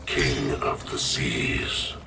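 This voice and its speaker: An actor playing an ancient, ominous sorcerer in a video game, solemn and portentous like a prophet of doom. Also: male voice, drawn-out words, distant mic, very noisy. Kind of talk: dramatic video game dialogue.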